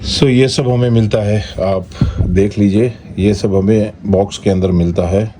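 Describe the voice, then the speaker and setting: Deep, slow-talking Indian man. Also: fairly even intonation. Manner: halting, explains step by step in short phrases. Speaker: product reviewer and vlogger. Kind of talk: casual unboxing walkthrough, Hindi mixed with English. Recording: noticeable background noise.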